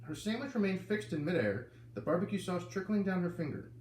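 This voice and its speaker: A man reads a children's novel aloud, his pitch rising and falling freely as he lifts his voice for each character. He sits in an echoey room with a faint hum of ambient noise behind him. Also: very animated, a little fast, darkish tone, recorded from a distance. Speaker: reader.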